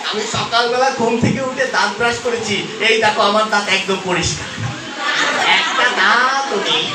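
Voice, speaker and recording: A puppeteer plays a playful eight-year-old boy puppet who teases and coaxes the audience with questions. The voice is echoey and sits back from the mic, with a clearly audible live crowd around it. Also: mid-range voice, normal speed, lightly acted. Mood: cheeky. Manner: coaxing, question-asking, addresses the crowd directly. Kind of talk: live puppet show patter addressed to children. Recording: distant, echoey, noisy live crowd recording.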